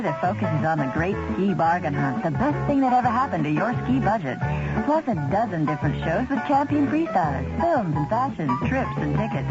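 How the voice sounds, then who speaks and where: Loud music backs a woman announcing on a dull old radio recording. She is peppy and promotional, her pitch moving in lively swings. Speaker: commercial announcer. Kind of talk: 1970s radio event ad.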